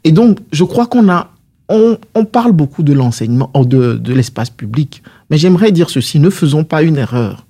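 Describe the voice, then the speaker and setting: A man's voice: a little high, lively intonation, moderate pace, dark tone, slightly rough. A man answering interview questions as a political guest, in a didactic, structured and rhetorical way.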